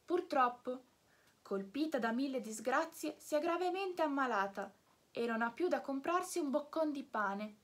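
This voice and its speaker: A woman voicing a slow, phlegmatic female snail who once served a fairy, with a breathy voice and a calm, unhurried manner. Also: sits high, pitched above the performer's own voice. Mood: somber. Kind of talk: storybook reading.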